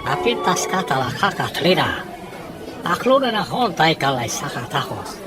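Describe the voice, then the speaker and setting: A woman playing a flattering, persistent foreign male suitor with halting, accented speech in an audio drama. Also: deep voice, loud music behind the voice, a loud crowd. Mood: flirtatious.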